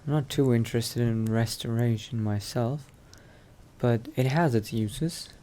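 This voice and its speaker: A man acts out a cautious vampire wanderer in a fantasy game. He talks slowly in a soft, mellow voice, thinking aloud with hesitation, over faint background noise. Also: mid-range voice, lightly acted. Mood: musing. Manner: hesitant, musing to himself. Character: cautious, musing male vampire adventurer at a mage college. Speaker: roleplay let's-play player. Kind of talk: in-character improvised roleplay monologue.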